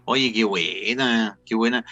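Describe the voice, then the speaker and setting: A man's voice: lowish voice, takes it slowly, sharp tone, dull audio. A Chilean man hosting a casual interview show, chatty, playful and teasing with his guest. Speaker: talk show host and interviewer.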